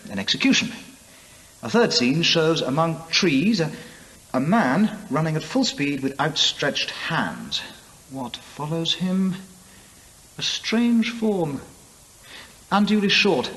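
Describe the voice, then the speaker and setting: An actor playing a curious, bookish English gentleman antiquarian in a radio drama, musing hesitantly in old-fashioned literary language. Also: a little high, long reverb tail, exaggerated voice, rough recording. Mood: fascinated musing.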